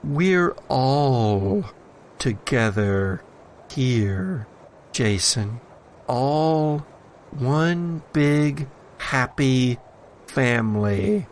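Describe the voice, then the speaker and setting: A man voicing a sinister, seductive otherworldly entity in a slow, coaxing, ominous manner for a tabletop horror game. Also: medium pitch, animated, slight background noise. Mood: coaxing menace.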